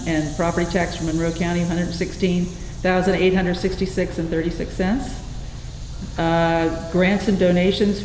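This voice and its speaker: A plain-spoken, folksy woman chairing a board meeting informally and reading out figures, self-deprecating as she goes. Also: on the low side. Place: indoor public meeting room. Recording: echoey hall, loud room noise.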